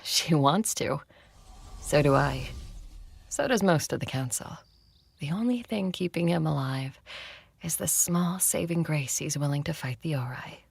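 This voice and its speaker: Husky, slow-speaking vampire conqueror woman. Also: low voice, no echo, full character voice. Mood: grim, low. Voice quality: husky, creaky. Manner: unhurried, hushed, dry. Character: ancient vampire conqueror, a woman, tender and dryly teasing. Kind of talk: intimate audio drama acting and first-person narration.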